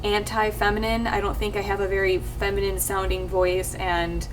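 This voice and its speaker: A young woman talking casually to her viewers, rambling with lots of fillers and restarts, her voice a little husky. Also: little pitch movement, normal speed. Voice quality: slightly husky, low-ish voice. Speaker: YouTube let's player. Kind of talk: casual talk to camera. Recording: distant mic with faint ambient noise.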